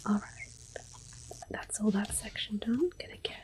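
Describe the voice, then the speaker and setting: A woman speaking in a hushed, unhurried, gentle whisper as she gives ASMR game commentary. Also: mid-range voice. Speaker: host of an ASMR gameplay series. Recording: clicking controller noise. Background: controller clicks.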